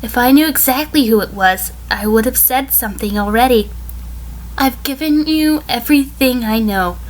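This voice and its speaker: A woman's voice: fairly high pitch, normal speed, slight background noise, natural voice, low-quality recording. A woman playing a defiant, evasive defendant testifying in an audio drama, prickly with hesitant stammers. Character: defiant, evasive female defendant on the witness stand. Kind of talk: audio drama courtroom acting.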